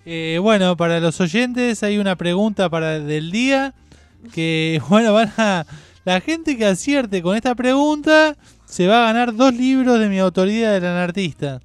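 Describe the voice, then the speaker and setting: A man's voice: high voice, animated, measured pace, smooth, no echo, faint music behind. A man informally announcing a quiz on a radio show. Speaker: radio contributor and author.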